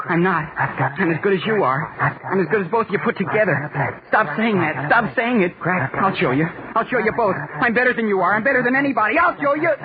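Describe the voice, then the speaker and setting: An actor playing an obsessed, feverish young college inventor, boastful and repeating himself, in 1940s radio horror style. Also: male voice, high voice, expressive, brisk, crowd noise. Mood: frantic, defiant. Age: young adult. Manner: feverish, muttering repetitions, boastful. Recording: noisy, tinny 1940s radio recording.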